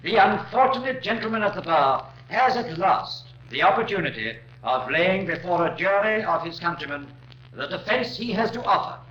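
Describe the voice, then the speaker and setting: Impassioned high-pitched Victorian barrister. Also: male voice, a bit bright. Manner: formal, rhetorical, emphatic. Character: impassioned Victorian defense barrister addressing a jury. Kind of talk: 1950s radio crime drama courtroom oratory. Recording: dull, noisy old radio recording, roomy.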